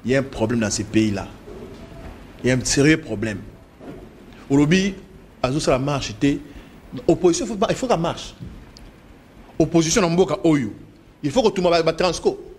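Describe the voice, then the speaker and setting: Man, congolese french accent, fairly high voice, emphatic studio debater, swinging pitch. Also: normal speed, long pauses, darkish tone, a little hiss or hum. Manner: emphatic, gesturing, swinging pitch, switching between French and Lingala. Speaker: political debate guest. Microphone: desk microphone.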